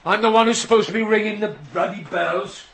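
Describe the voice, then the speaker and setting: A man playing a gruff, dismissive middle-aged railway signalman and father in a radio drama, brusque and blunt. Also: high voice, steady tone, a little fast, a bit bright, a little hiss or hum. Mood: irritated. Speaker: actor in a radio play. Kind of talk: naturalistic radio drama acting.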